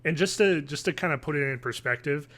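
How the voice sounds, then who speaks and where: A man, chatting casually and haltingly as a podcast co-host from home. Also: on the high side, fairly bright tone. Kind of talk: casual review chat. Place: home living room, video call.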